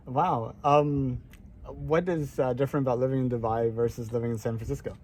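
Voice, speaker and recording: A man's voice: mid-range voice, fairly even intonation, fairly quick, darkish tone, slight background noise. A man interviewing a guest for his project, asking questions with frequent uhs and ums. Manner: hesitant, uses uh and um often. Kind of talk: casual interview.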